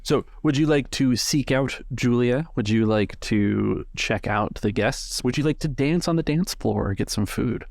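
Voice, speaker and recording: A man narrating a tabletop role-playing game as the game master, with a gravelly voice. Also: mid-range voice, measured pace, hardly any pauses, darkish tone, no room sound, clean studio sound.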